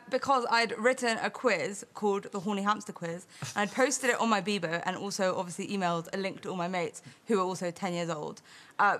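A young woman from France who grew up in London, telling a deadpan anecdote with bursts of amused emphasis in a TV studio. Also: medium pitch. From France, raised in London. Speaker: studio audience guest.

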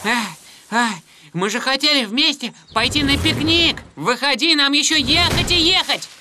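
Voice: sits high, moderate pace, noisy background, close to an everyday voice. An actor voicing a cheerful, childish, eager little tow truck in a children's cartoon dub, naive and full of exclamations. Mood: excited, naive. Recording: music behind the voice.

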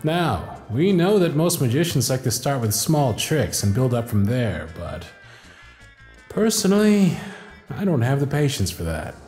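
A male actor plays a confident, playful stage magician in an ASMR audio drama. His mid-pitched voice moves with lively swoops through grand showman patter, in a roomy echo. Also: unhurried, faint music behind. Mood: grand, showy. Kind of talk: scripted ASMR audio roleplay.

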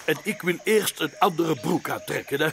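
A man's voice: a little high, expressive, moderate pace, creaky, very noisy. A man voicing a fussy, blustering club chairman from a comic book, pompous and indignant. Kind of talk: comic book read aloud in character.

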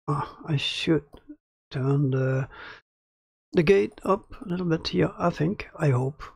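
Man with a crackly, gravelly voice. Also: fairly high pitch, no room sound. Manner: hesitant, many fillers and restarts. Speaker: audio tutorial host. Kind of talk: casual screen-recorded tutorial. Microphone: close studio mic about ten centimeters away.

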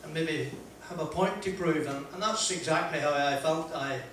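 An older Northern Irish man tells a rambling, self-deprecating story to a seated audience, with his voice a touch high for a man. He is picked up from some distance off, and a big echoey room rings around him. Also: a bit bright, slight background noise. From Northern Ireland. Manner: chatty, self-deprecating, frequent "uh" pauses and laughs. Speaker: motivational speaker. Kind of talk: anecdotal motivational talk. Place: large wood-panelled function room, audience present. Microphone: handheld mic.

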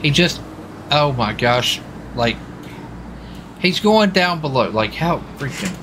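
A man's voice: medium pitch, sing-song, slow, noisy background. A man in casual roleplay game chat, talking informally with folksy exclamations. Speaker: gamer roleplaying a US marshal on stakeout.

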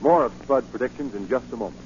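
A man's voice: mid-range voice, quick pace, darkish tone, faint music behind. An American man announcing in a polished, emphatic 1940s radio advertising style. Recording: muffled, lightly noisy 1940 radio recording.

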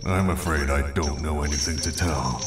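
A fallen villain king turned spirit, acted by a man for a cartoon dub. His low voice is grave and formal, drenched in echo with music clearly behind it. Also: normal speed. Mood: solemn. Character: solemn, courteous ghost of a fallen villain king. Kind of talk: cartoon comic dub acting. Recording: echoing voice under loud music.

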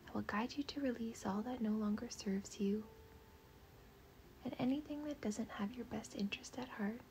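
A woman leading a guided meditation in a soft, breathy, half-whispered voice, gentle, soothing and unhurried. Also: a little high.

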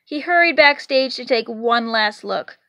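High-voiced woman reading a picture book. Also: expressive, a little slow, pushed and bright, no echo. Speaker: storybook reader. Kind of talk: expressive children's picture-book read-aloud. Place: indoors in a room at home.

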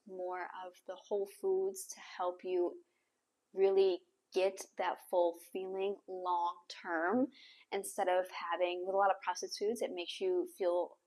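Young woman podcaster, conversational and halting. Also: on the low side. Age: young adult. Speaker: podcast host. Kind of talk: casual advice talk to camera. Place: small bare room indoors with hard floor. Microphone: clip-on lapel mic.

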